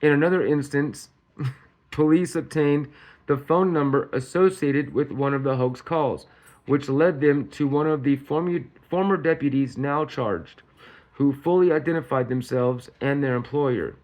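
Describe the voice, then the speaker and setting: A man's voice: fairly high pitch, unhurried, a little mellow, low-quality recording. A man hosting a commentary channel, reading out news in a casual way and often tripping over words and correcting himself.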